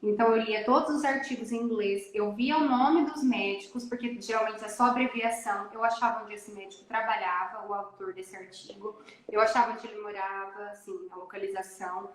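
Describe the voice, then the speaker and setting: A woman talking about her daughter, telling her story in an emotional, animated way on a casual live chat. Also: medium pitch, brisk. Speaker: guest mother. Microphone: phone or laptop on a live video call. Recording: echoey room.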